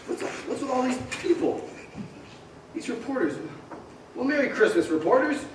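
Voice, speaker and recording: A male actor plays an elated, frantic family man who exclaims everything with breathless joy. His pitch is high and swings widely. It is a stage radio play, caught from a distance in a very echoey hall. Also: big pitch swings, normal speed, exaggerated voice. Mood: giddy joy. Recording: roomy theater stage, distant mic, faint noise.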